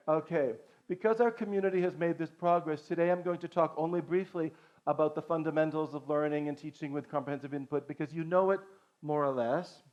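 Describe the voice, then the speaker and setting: A man's voice: a little high, a little mellow. A middle-aged man from Boston giving an earnest conference talk as a language teacher, lecturing with frequent restarts and "okay"s. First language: English. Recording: dull lo-fi recording.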